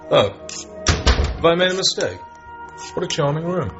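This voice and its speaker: An actor playing a suave, sardonic British secret agent with a wry, urbane manner in a radio drama. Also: male voice, fairly high pitch, big pitch swings, normal speed. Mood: cool courtesy. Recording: dull broadcast sound with music behind.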